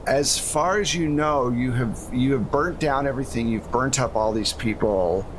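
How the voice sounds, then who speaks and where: Male game master, casual narration, conversational, explanatory, medium pitch, clear rumbling background noise. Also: normal speed. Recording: steady low rumble and hum behind voice.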